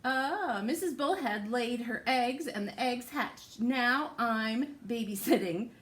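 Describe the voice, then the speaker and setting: Woman as a cheerful, slow-talking proud papa fish. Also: a little high, fairly bright tone. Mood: chipper, proud. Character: cheerful, proud father fish minding his eggs.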